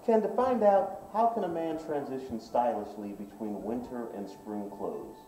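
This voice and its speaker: A man's voice: mid-range voice, lively intonation, moderate pace, warm tone, distant mic, slight background noise. A man in his forties hosting a style show, interviewing a shop owner with polished, upbeat patter on location. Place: menswear boutique, indoors. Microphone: far camera. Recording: roomy shop sound from off-mic.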